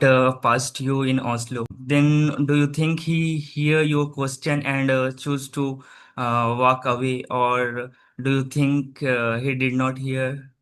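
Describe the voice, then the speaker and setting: A man's voice: mid-range voice, fairly bright tone, clean tone. A man hosting a video call interview, asking questions hesitantly with many uhs and simple phrasing. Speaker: interviewer host.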